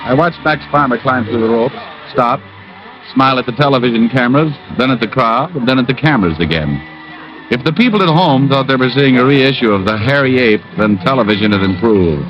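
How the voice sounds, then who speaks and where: A man's voice: mid-range voice, fairly quick, lightly acted. An actor playing a wisecracking private eye who narrates his own case with dry, wry asides in old radio drama style. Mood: dry narration. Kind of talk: 1940s-50s radio detective acting and first-person narration. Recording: muffled old radio recording, music behind.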